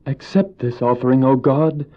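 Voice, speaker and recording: An actor playing Abel, a pious, earnest younger brother and shepherd who speaks formally and preachily in a religious audio drama. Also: male voice, medium pitch, moderate pace, close to an everyday voice. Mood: reverent. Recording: dull old cassette audio drama.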